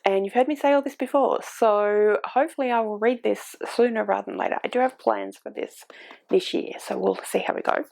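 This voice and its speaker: Chatty woman in her forties, gravelly. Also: medium pitch, a little fast, a little mellow. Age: 40s. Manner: chatty, rambling, with frequent ums and self-corrections. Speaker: book YouTuber. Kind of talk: casual booktube chat. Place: home, with a cat on the microphone. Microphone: desk microphone.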